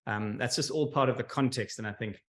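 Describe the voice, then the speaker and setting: A man's voice: medium pitch, fairly quick, fairly bright tone. A man moderating a panel over a video call, speaking politely with hedges and fillers.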